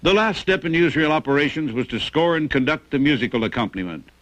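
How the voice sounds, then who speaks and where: A man's voice: a little high, expressive, fast talker, a bit bright, dry recording, poor audio. A man reading scripted narration for a historical documentary about the past. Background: music.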